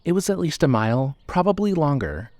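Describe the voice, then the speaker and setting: A man's voice: on the high side, big pitch swings, brisk, darkish tone, no room sound. A man narrating a scripted story as the narrator of an audio drama.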